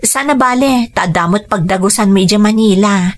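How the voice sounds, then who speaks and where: A woman's voice: medium pitch, moderate pace, natural voice. A young woman playing an earnest, hopeful daughter, respectful to her parents, in an Ilocano radio drama. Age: young adult.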